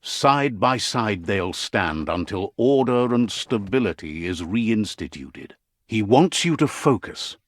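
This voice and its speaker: A man playing a wry, philosophical narrator of an animal fable video game, telling it in a theatrical, measured, whimsical storybook style. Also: fairly low pitch, expressive, dry recording. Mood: grave, wondering, then wry, instructive.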